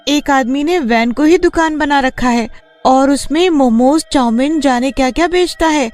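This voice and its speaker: A woman's voice: sits high, normal speed, a little mellow, faint music behind, close to an everyday voice. A woman playing an earnest, determined college-age daughter in a cartoon story. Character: determined young college girl, grieving daughter. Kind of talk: Hindi cartoon story dubbing.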